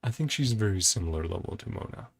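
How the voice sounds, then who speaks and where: A man's voice: lowish voice, expressive, quick pace, gravelly, husky. A man giving casual, off-the-cuff reaction commentary, thinking aloud in short broken phrases. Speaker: host of a reaction and ranking video. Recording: music playing behind the voice.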